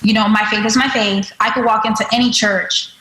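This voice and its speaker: Animated Dominican-born woman storyteller. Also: on the high side, fairly quick, reverberant, low-quality recording. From Dominican Republic. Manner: animated, lively anecdotes with quoted asides. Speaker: guest author. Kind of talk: conversational interview storytelling.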